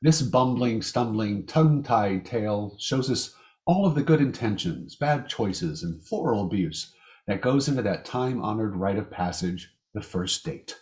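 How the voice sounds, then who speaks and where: A man hosting an amateur vintage radio show, reading a scripted introduction in a measured, announcer-like way. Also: on the low side, lively intonation, a little mellow. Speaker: host.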